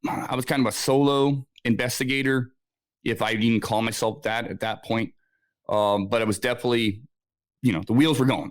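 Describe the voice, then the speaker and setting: A man's voice: on the low side, no room sound. A man in his forties, born in Scotland, telling his story as a podcast guest, rambling with many fillers and restarts. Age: 40s. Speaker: guest researcher. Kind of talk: casual interview storytelling.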